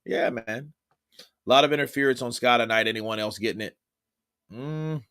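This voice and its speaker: A man's voice: medium pitch, normal speed, a bit bright, clear, resonant tone. A young man doing casual, profane live-stream commentary on boxing and chatting with his viewers. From Texas, United States.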